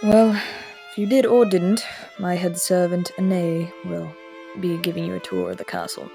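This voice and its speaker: A cool, languid immortal prince, as a woman voices him in a roleplay audio drama, aloof and aristocratic. The voice is lowish with a gravelly fry, and he gives orders calmly, drifting now and then into soft murmurs. Faint string music plays beneath. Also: moderate pace. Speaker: voice actor.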